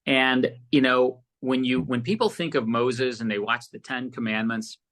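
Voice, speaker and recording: A man's voice: mid-range voice, normal speed. A man speaking casually as an interview guest, a producer telling rambling anecdotes and acting out bits of past conversations. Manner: animated rambling anecdotes, often quoting conversations.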